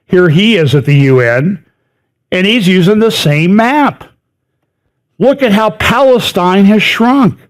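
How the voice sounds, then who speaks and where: A middle-aged man talks through a slideshow in a loose, conversational way, his voice sitting a touch higher than most men's. He repeats words to stress them and tosses in dry little asides. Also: lively intonation, slow. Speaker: speaker giving a prophecy update talk. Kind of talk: lecture with slides.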